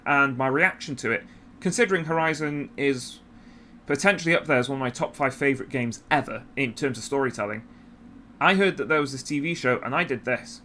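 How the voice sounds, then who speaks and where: A man's voice: fairly high pitch, bright, forceful tone, a little hiss or hum. A man giving informal, opinionated commentary in a conversational video-essay style, with emphatic repetition.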